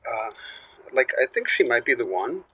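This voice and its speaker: A nervous caller who halts and hesitates, with a bright, tense voice that rises and falls a lot. He sounds muffled, like a call on a phone line. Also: mid-range voice, very animated, breathy edge, no echo, exaggerated voice. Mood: anxious. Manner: hesitant, halting. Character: nervous young man torn between mom and girlfriend.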